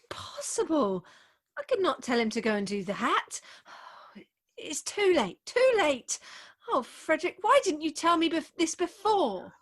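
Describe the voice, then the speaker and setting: A woman playing a prim, excitable young Victorian bride in a comic play, with an English accent and emphatic, theatrical delivery, recorded at home over a video call. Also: sits high, measured pace, full character voice. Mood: anguished. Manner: theatrical, emphatic, indignant propriety. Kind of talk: comic stage-play acting.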